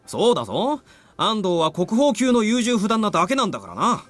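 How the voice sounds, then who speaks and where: An actor playing a playful, blunt, easygoing male high school student in an anime-style game. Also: wildly swooping pitch, racing, a bit bright, dry recording, full character voice. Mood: playful teasing. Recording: game music behind.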